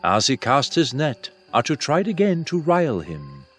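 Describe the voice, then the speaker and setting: Lively male fantasy audiobook narrator. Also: mid-range voice, normal speed, slightly rough. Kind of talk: scripted fantasy novel narration. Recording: soft music behind the voice.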